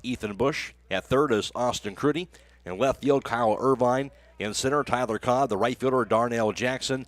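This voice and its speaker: A man's voice: medium pitch, no echo. An American man working as a radio announcer, speaking in a broadcast style into a close microphone. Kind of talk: live baseball play-by-play commentary.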